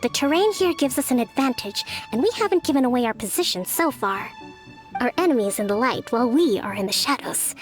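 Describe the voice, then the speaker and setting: Woman voicing a high-voiced, composed young female strategist. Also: animated, faint music behind, natural voice. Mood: calm, analytical. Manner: measured, articulate, formal yet friendly. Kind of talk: video game character dialogue.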